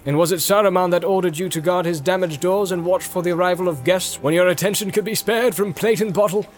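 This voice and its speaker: A man's voice: sits high, fast, soft background music, voice pushed up, lightly acted. Man acting a wry old wizard, raised voice. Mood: amused, teasing. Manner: wry, teasing, formal. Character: wise old male wizard, amused and teasing. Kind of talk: dramatised storybook reading.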